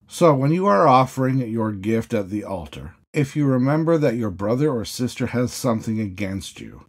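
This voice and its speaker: A man, a priest, giving a measured sermon full of questions, each sentence opening higher and settling low. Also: slightly rough. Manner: measured, questioning preaching with rising openings and falling endings.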